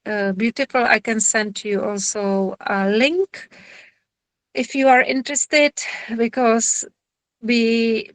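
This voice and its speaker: A woman with a Czech accent speaks slowly and a little high, pausing often as she searches for words. Her tone is friendly and informal, like a therapist answering questions after an online lecture. The video-call sound is dry and close. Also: a bit bright, dry recording, poor audio. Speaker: somatic therapist giving a lecture.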